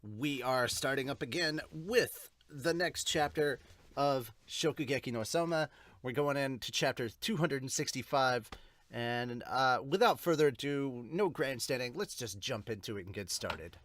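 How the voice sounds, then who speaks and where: A man's voice: medium pitch, unhurried, a bit bright, dry recording. A man hosting a manga review podcast in casual reaction chat, informal and often interrupting himself.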